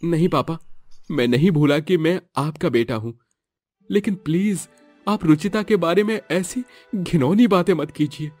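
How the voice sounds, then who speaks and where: A man's voice: fairly high pitch, normal speed, a little mellow, no echo, soft background music, close to an everyday voice. A man voicing an earnest, lovestruck young son of a village chief, emotional and pleading, in a moral-story cartoon. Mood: earnest protest.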